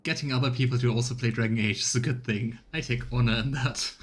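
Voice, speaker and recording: A man's voice: medium pitch, brisk. A man giving casual, musing commentary while streaming a game, often trailing off mid-thought. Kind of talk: casual live let's play commentary.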